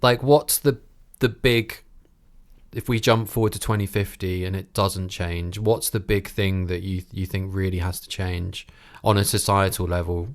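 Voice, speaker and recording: Guy hosting an interview podcast, lowish voice, kind of musing through his questions. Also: fairly even intonation, moderate pace. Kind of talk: conversational interviewing.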